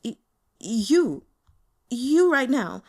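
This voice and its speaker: Woman doing a high, stammering, frightened male underling. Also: very animated, very slow, darkish tone, heavily acted. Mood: frightened, nervous. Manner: stammering, yelping. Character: timid, terrified, bumbling young male underling.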